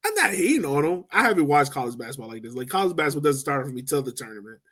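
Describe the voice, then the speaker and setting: A man's voice: medium pitch, very animated, very fast, fairly bright tone. A man hosting a casual sports podcast in a joking, informal, slangy way. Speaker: podcast host.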